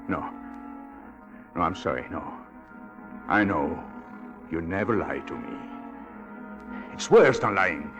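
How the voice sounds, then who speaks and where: An actor playing a cold, manipulative husband with a deliberate, insinuating manner, in a 1940s radio drama style. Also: male voice, deep voice, lively intonation, over music, full character voice. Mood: coldly menacing. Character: cold, domineering, manipulative husband, smoothly cruel.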